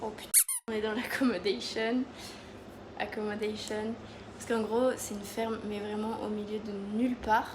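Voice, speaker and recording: A woman's voice: medium pitch, little pitch movement, moderate pace. A young woman from France vlogging in casual, rambling chat with lots of fillers, filming on a phone in a moving car. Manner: chatty, rambling with many fillers. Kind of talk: casual travel vlog chat. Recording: loud road noise behind.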